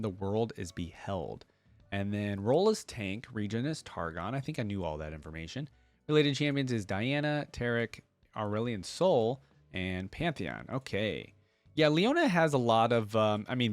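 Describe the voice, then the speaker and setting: A man's voice: on the low side, no echo. A man hosting a reaction video in an informal way, reading text aloud and dropping in short casual asides. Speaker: host of a fan reaction channel.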